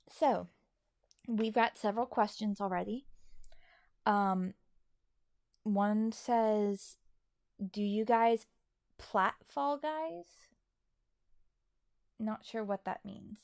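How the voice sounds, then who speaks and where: A woman's voice: mid-range voice, very slow, creaky, long pauses, a little mellow, no room sound, clean studio sound. A woman co-hosting a casual livestream, chatting and answering questions from viewers. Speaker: co-host of a livestream.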